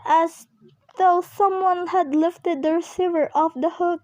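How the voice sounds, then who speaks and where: A woman's voice: very high voice, fairly even intonation, measured pace, a little mellow, dry recording, dull audio. A young woman in an amateur radio play acting a nervous, demanding invalid wife, agitated and stammering. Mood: terrified, pleading. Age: young adult.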